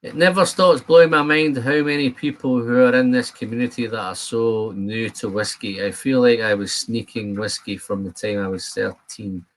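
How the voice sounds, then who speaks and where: A man's voice: medium pitch, steady tone, moderate pace, few pauses, poor audio. A man in his fifties with a Scottish accent, chatting casually to viewers as the host of a live stream. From Scotland. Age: 50s. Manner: chatty, addresses viewers by name.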